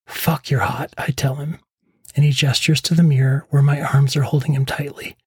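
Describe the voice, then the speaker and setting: A middle-aged man with a husky voice narrating a scripted first-person memoir as a podcast host. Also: medium pitch, flat delivery, normal speed, a little mellow, no echo. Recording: close dry voice over faint music.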